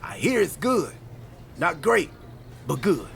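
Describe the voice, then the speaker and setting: A man's voice: on the high side, very animated, slow pace, creaky, slight background noise, heavily acted. A man playing a chatty, quirky, earnest friend in video game cutscene dialogue. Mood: upbeat, rambling. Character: chatty, quirky, earnest male friend and time-travel teammate.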